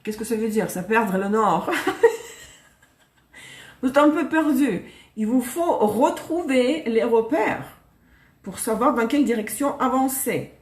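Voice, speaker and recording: A woman's voice: fairly high pitch, lively intonation, a little slow, long pauses. A woman reading tarot cards on a live stream, chatty, laughing and correcting herself as she goes. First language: Russian. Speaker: tarot reader. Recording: echoey room.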